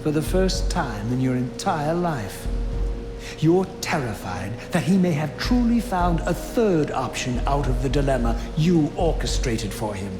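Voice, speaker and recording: Bitter, echoing undead wraith. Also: male voice, a little high, very animated. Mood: taunting. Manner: formal, theatrical, measured and biting. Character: bitter undead male wraith, proud, sardonic, brooding. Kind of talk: dramatic video game voice acting and narration. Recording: echoey, with music behind.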